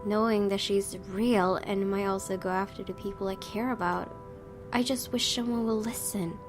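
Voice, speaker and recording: A woman doing a casual let's play, reading a visual novel aloud and commenting in an expressive way. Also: medium pitch, normal speed. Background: game music with guitar.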